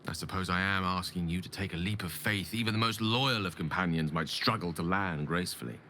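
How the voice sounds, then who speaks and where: A man's voice: sits low, fairly quick, pushed and bright, a little hiss or hum. A man playing an eloquent, ambitious and embittered wizard in a video game, speaking with earnest, theatrical and formal articulation. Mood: dejected. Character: ambitious, eloquent, embittered male wizard pleading his case. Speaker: video game companion character. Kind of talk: dramatic game dialogue acting. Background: background music.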